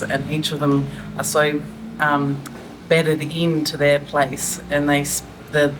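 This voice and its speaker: A woman's voice: sits low, noisy background. Australian woman asking a panel question. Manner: searching, with restarts. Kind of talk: panel discussion.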